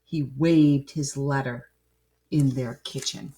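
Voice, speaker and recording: A woman reading a children's picture book aloud as a storyteller, expressively and with deliberate phrasing. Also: extremely low pitch, steady tone, dark tone, low-quality recording.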